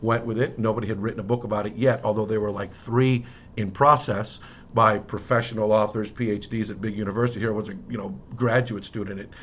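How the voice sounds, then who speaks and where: Interview guest: male, middle-aged, American accent, lower register, casual anecdotal delivery that restarts and rephrases, some noise behind. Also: flat delivery, fast. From Miami, United States. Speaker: interview guest, history professor. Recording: noticeable background noise.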